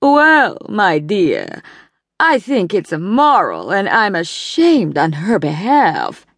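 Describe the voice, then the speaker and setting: A woman voicing a prim, judgmental middle-aged society lady with a slow, disapproving Boston drawl. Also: medium pitch, wildly swooping pitch, no room sound, full character voice.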